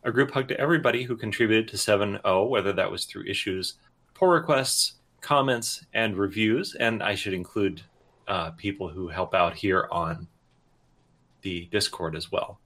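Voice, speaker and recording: Male meeting participant, lowish voice with a crisp, bright edge, informal delivery. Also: normal speed. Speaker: meeting participant, project contributor. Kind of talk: casual spoken thanks in online meeting.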